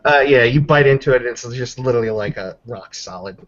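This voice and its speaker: A man's voice: medium pitch, fast talker, a bit bright, a little hiss or hum. A man acting as game master, narrating a tabletop roleplaying game casually and swearing freely. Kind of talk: casual live game narration with dice counting. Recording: dull lo-fi voice chat audio.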